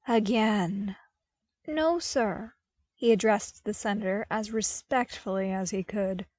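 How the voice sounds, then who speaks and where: A woman reading fiction aloud in an expressive, wry, conversational and emphatic storytelling style. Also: medium pitch, no room sound. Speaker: podfic narrator.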